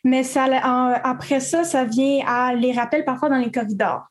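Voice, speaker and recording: A woman's voice: high voice, moderate pace. A young woman from Quebec, answering as a student panelist in a casual, hesitant way with many fillers.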